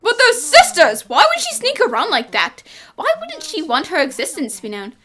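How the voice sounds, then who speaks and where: A woman's voice: sits high, huge pitch swings, fairly quick, bright, forceful tone, heavily acted. Woman acting earnest, puzzled cartoon boy assistant. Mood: confused. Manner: earnest, questioning. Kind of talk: fan-dubbed cartoon acting.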